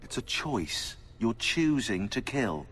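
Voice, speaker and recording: An actor playing a sardonic, eccentric British conspiracy researcher turned assassin in a video game, chatty, opinionated and sarcastic. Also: male voice, mid-range voice, very animated, slow pace, fairly bright tone, husky, heavily acted. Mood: sarcastic, argumentative. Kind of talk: game cutscene dialogue.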